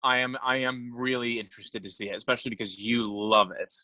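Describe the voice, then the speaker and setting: Bright-voiced young man gushing about film. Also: medium pitch, fairly even intonation, a little fast, no room sound. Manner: enthusiastic, filler-heavy, repeats words. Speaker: podcast co-host. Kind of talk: casual film podcast chat.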